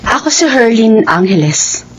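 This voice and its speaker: A woman's voice: a little high, big pitch swings, unhurried, muffled recording. Earnest jilted woman voiced by an actress, faint noise. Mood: bitter. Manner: earnest, emotional. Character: bitter, earnest jilted former fiancée. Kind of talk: melodramatic radio drama acting in Tagalog.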